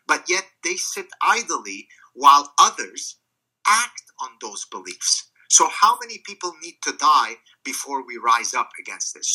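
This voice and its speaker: A man from Lebanon hosting a live online discussion, lecturing emphatically, repeating words and slowing down to stress his points. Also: mid-range voice, bright, forceful tone, poor audio. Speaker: host of a live audio discussion. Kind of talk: lecturing, argumentative talk. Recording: online audio room.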